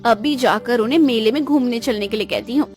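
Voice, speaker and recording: A woman's voice: on the high side, brisk, a little mellow, soft background music, lightly acted. A woman voicing a spoiled, envious young sister in a cartoon, whiny and dramatic. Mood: boastful. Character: spoiled, envious young sister craving her brother's affection.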